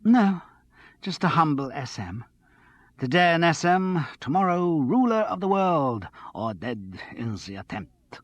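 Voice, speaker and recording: A man reading an audiobook, voicing an excitable, slightly tipsy young woman who chatters on without pause. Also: high-pitched, dry recording, pitched above the performer's own voice. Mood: giddy. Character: giddy, tipsy young woman studio manager.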